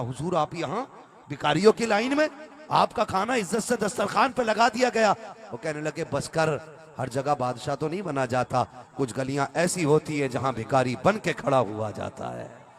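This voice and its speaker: A man's voice: on the high side, lively intonation, moderate pace. A man delivers a conversational religious sermon to a live gathering, urging listeners to respond and at times reciting verse. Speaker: Islamic preacher.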